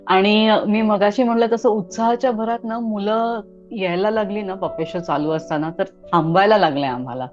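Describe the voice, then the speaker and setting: The speaker is a middle-aged woman with a mid-range voice, recorded with a dull, lo-fi sound. She tells stories conversationally as an interview guest. Her delivery is animated, as she acts out bits of what people said. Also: medium pitch, normal speed, hardly any pauses, soft background music.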